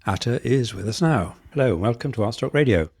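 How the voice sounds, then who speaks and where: A man's voice: mid-range voice, lively intonation, brisk, creaky, a little mellow, husky. A man hosting an arts radio programme, introducing items and interviewing a guest.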